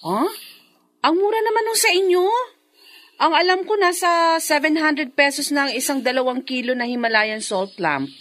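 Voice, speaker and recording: An actress playing an eager, chatty adult daughter in a Filipino radio drama, speaking emphatically and conversationally. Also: female voice, sits high, normal speed, fairly bright tone. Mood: curious, businesslike.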